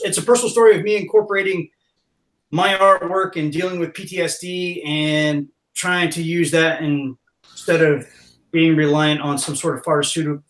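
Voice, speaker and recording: A man's voice: on the high side, fairly bright tone. A man who is a guest comic artist on a podcast, talking eagerly about his upcoming projects. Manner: eager, plugging events. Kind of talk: casual chat. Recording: roomy echo.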